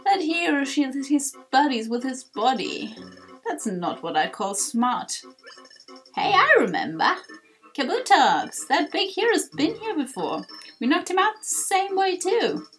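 A woman's voice: high-pitched, big pitch swings, unhurried, fairly bright tone, faint music behind, voice pushed up, full character voice, low-quality recording. A woman voicing a menacing prehistoric shellfish monster in a video game, taunting and sarcastic. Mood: mocking.

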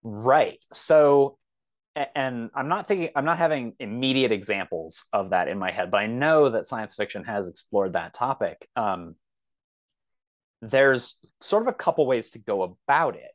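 A man in his thirties talking casually as a podcast guest, thinking aloud in a halting, thoughtful way. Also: mid-range voice, lively intonation, dry recording.

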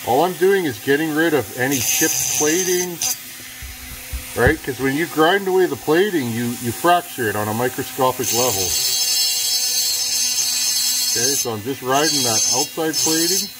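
A man explaining his chainsaw porting work in a relaxed, chatty workshop tutorial, with self-deprecating asides, speaking off camera over his bench. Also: fairly high pitch, moderate pace. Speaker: chainsaw builder demonstrating porting. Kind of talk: casual hands-on workshop tutorial. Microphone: camera close to workbench, speaker off camera. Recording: noisy workshop with power tool. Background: rotary grinding tool whirring.

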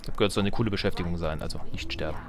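A man's voice: low-pitched, racing. A man giving casual, joking gameplay commentary as a let's player, playing with words and muttering to himself. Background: music.

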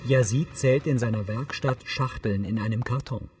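A man's voice: medium pitch, normal speed, a little mellow. A man reading scripted audio description in a matter-of-fact, articulate way. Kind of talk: scripted narration.

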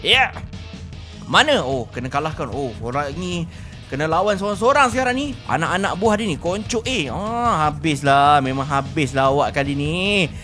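A man's voice: on the high side, expressive, normal speed, pushed and bright, soft background music. A young man with a Malaysian accent doing playful, exclamatory roleplay commentary as host of a gaming video, repeating words for emphasis. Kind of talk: excited roleplay game commentary.

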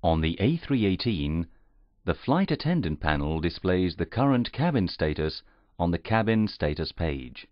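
A man reading scripted instructional narration in a formal, measured, carefully enunciated way. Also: sits low, big pitch swings, dry recording. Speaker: training narrator. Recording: slightly muffled, dull audio.

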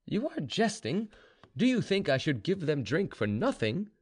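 Storybook tavern keeper, male reader acting: mocking, goading, exclamatory, high and very animated pitch. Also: normal speed, husky. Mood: taunting. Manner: taunting, exclamatory.

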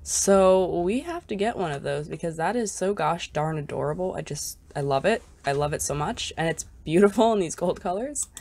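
A woman's voice: fairly low pitch, expressive, normal speed, gravelly, few pauses, a little mellow. A young woman with a congested, scratchy voice, chatting casually and gushing as she shops for items in a game. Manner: chatty and bubbly, gushing over cute items. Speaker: gaming YouTuber. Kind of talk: casual gameplay commentary.

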